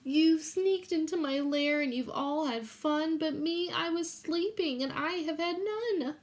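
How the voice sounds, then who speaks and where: A woman's voice: high voice, measured pace, voice pushed up. A woman voicing a big, grumpy, self-pitying bear in a children's storybook, complaining in an aggrieved way. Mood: indignant, whiny.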